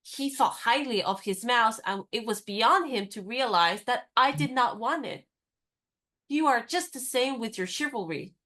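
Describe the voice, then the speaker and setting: A woman's voice: fairly high pitch, measured pace, sharp tone. A woman playing a spirited, stubborn young woman. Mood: determined. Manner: crisp, teasing and firmly insistent. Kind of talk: radio play acting.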